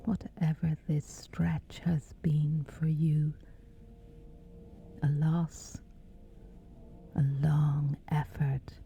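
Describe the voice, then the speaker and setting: A woman guiding a scripted sleep meditation in a breathy, whispery, hushed and soothing voice, leaving long pauses. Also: low voice, slight background noise. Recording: slightly dull, soft music behind. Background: music.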